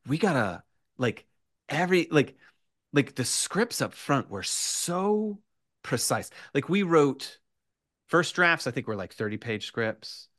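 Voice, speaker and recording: Low-voiced man, rambling podcast guest. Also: no room sound, studio quality. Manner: animated, rambling, hesitant, self-correcting. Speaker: podcast guest, horror writer. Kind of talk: casual interview answer.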